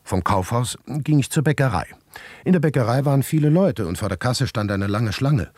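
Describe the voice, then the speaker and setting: A male actor playing an aloof bachelor writer, telling his own story in a radio play with dry, expressive delivery. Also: mid-range voice, normal speed, no echo, close to an everyday voice. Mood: dry, irritated. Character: aloof, pipe-smoking bachelor writer, easily flustered. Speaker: narrator and protagonist in a radio play. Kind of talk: first-person radio play narration.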